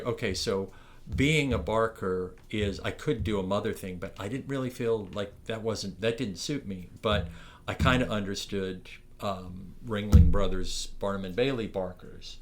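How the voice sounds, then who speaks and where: A middle-aged man from Ontario, Canada, recalling his years as a morris dance barker in a rambling interview, with many restarts and fillers. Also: fairly low pitch. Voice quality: loud projecting voice. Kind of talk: reminiscing oral history interview.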